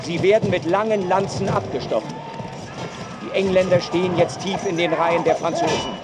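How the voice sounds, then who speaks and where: An actor as a male field correspondent at a medieval battle, in radio drama style. His pitch sits high, and very loud outdoor noise surrounds him. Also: fairly quick, lightly acted. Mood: tense reporting. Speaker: battle reporter.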